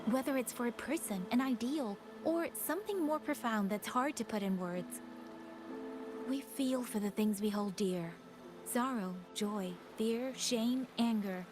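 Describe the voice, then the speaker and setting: An actress playing an earnest, compassionate young heroine in a video game, with gentle, deliberate phrasing over background music. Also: female voice, a little high, lightly acted. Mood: earnest, reassuring. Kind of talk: dramatic video game voice acting.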